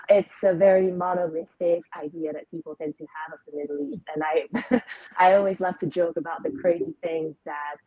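A young adult woman from Lebanon, telling her life story as a podcast guest in a reflective way, pausing often with ums. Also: lowish voice, warm tone, dry recording, low-quality recording. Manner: reflective, frequent ums and pauses. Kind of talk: personal storytelling interview.

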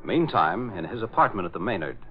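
A man's voice: sits low, sing-song, fairly quick, loud music behind the voice. A man narrating a 1940s radio drama in a dramatic, declamatory manner. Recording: muffled vintage radio, loud engine noise.

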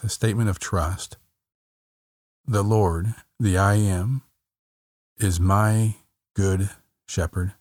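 Casting: man with a very low voice, unhurried, gentle meditation guide, dry close sound. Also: no room sound. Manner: slow, soft, calm, repeats phrases with long pauses.

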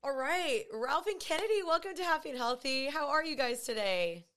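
A woman with a raspy voice hosting a podcast in chatty, gushing talk full of fillers. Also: high-pitched, lively intonation, moderate pace, over music. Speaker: podcast host. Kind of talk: casual podcast chat.

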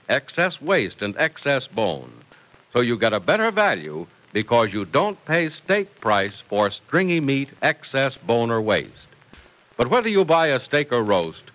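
Male vintage radio announcer, mid-pitched and very animated, for emphatic scripted ad copy and serial narration. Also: poor audio.

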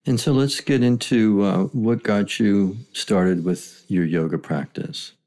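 A man's voice: fairly low pitch, slow pace, a little mellow. A man interviewing his wife, asking prompting questions with light teasing. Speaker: interviewer and husband. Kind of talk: casual interview.

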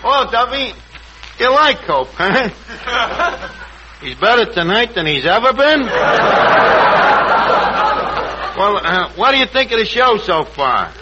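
A man's voice: high-pitched, big pitch swings, a little slow. A man playing a hapless tavern manager and would-be announcer in a 1940s radio comedy, mock-formal and wheedling. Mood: chatty. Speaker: host. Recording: muffled, very noisy vintage radio broadcast.

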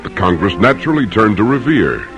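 A man narrating an old-time radio drama in a dramatic, deliberate, formal manner. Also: lowish voice, sing-song, fairly bright tone, faint music behind.

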